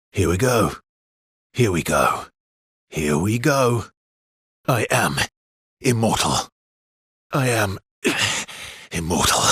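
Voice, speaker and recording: Battle-hardened immortal swordsman for a video game: lowish, deliberate, intense, breathy and husky, terse dramatic combat calls. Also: bright, forceful tone, no echo, studio quality, exaggerated voice. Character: weary, battle-hardened immortal male swordsman, grimly determined.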